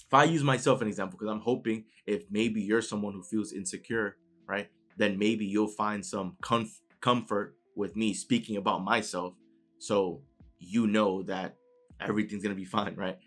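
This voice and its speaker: A man with a New York accent speaks in a fairly low voice, talking straight to the listener in a relaxed, conversational way. He gives a motivational talk, tags his points with "right?", and faint music plays behind him. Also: moderate pace. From New York City. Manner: conversational, keeps adding "right?" tag questions.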